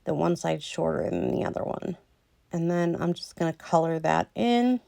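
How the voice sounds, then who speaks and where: A woman talking through a nail tutorial in a slow, rambling way with lots of ums, a little hoarse as she loses her voice. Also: on the low side, dark tone, no echo, studio quality. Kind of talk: casual voice-over tutorial.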